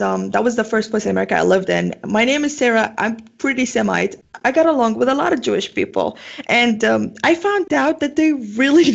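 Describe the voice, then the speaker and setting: Gravelly young woman with a Syrian accent. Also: a little high, highs cut off. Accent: Syrian Arabic. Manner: chatty, lots of "like" and "um". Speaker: guest. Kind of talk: casual interview talk.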